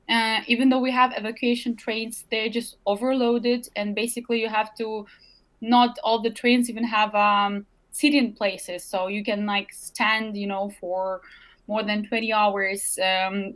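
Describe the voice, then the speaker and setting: A woman's voice: fairly high pitch, level tone, unhurried, a bit bright. A young adult woman from Ukraine with a Ukrainian accent, speaking earnestly in an interview and often searching for words. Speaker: interview guest. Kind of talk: remote interview.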